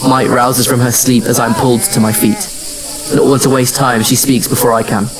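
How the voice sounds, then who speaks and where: A man's voice: mid-range voice, level tone, fairly quick, a crowd in the background, lightly acted, poor audio. A man acting as a haunted immortal who narrates his own tale in an audio drama. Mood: somber. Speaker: narrator and lead actor.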